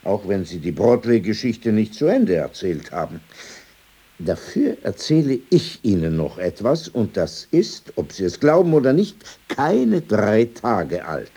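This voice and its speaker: A man's voice: lowish voice, sing-song, dark tone, breathy edge, exaggerated voice. An actor playing a courteous, opinionated old man in a radio play, telling anecdotes insistently with many asides. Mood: insistent, wry. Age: older. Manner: polite, insistent, drawn-out storytelling with asides.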